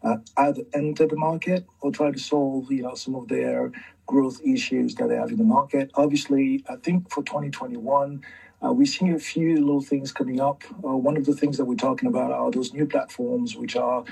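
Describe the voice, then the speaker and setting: A man's voice: mid-range voice, steady tone, normal speed, gravelly, darkish tone, slightly rough, recorded from a distance, poor audio. A man giving a casual introduction as a strategist speaking on a panel.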